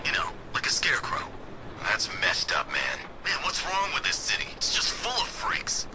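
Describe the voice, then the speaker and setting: A man's voice: high-pitched, huge pitch swings, moderate pace. Man playing a muttering guard, swooping intonation. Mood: uneasy, sardonic. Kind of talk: conversational audio drama acting. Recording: clear background noise.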